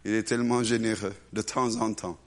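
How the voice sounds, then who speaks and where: A man preaching a lively sermon to a congregation, acting out little voices and swinging between loud and hushed delivery. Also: fairly low pitch, brisk. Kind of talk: animated sermon.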